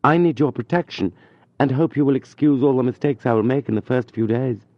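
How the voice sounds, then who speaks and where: A man's voice: mid-range voice, brisk, a little mellow, no echo, highs cut off, pitched above the performer's own voice, natural voice. A man voicing a poor, shy young tutor who speaks earnestly and falters at times.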